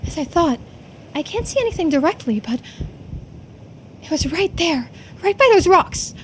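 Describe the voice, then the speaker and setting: A woman's voice: very high-pitched, big pitch swings, moderate pace, slight background noise. A woman voicing an anxious, self-doubting young woman in a visual novel game, talking to herself emotionally. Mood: uneasy, pondering. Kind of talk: dramatic voiced reading of visual novel game dialogue.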